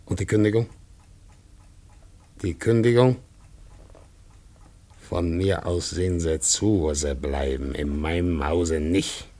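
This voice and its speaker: Grim old dad in a radio play, deep raspy voice, really slow muttering. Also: male voice, warm tone. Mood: brooding, bitter. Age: older. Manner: halting, muttering, repeats words. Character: brooding, stern old father torn by duty. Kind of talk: 1950s German radio drama.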